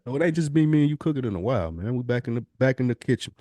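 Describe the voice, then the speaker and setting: A man's voice: mid-range voice, animated, very fast, warm tone, no room sound, studio quality. An American man hosting a podcast, bantering casually in slangy, informal talk with swearing. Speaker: podcast host. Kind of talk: casual banter and chat between friends.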